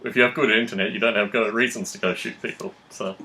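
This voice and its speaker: This is a man with a fairly low voice, hosting a podcast discussion. He speaks in long, analytical sentences with a measured, conversational delivery. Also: fairly even intonation, bright, forceful tone, slight background noise. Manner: measured, analytical, wordy phrasing. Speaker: podcast host. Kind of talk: conversational discussion, framing questions.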